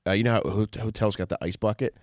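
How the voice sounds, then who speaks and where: A middle-aged man with a low voice talks in a blunt, conversational way, as if telling a comic memoir. The sound is close and dry, with very little room on it. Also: steady tone, quick pace, no echo. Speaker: author reading own memoir and podcast host. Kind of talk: audiobook reading with casual comic asides.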